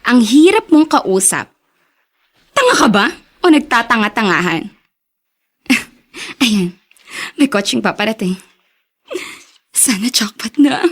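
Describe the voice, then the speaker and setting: An actress playing a brash, territorial streetwalker, sassy, taunting and flirtatious, in a Tagalog radio drama. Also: female voice, a little high, very animated, slow, pauses often, husky, exaggerated voice. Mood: mocking, territorial.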